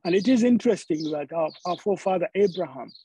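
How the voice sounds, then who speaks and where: High-voiced man preaching. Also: animated, dark tone, husky, no room sound. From Zambia. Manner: halting, repeats words as he searches for phrasing. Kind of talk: sermon.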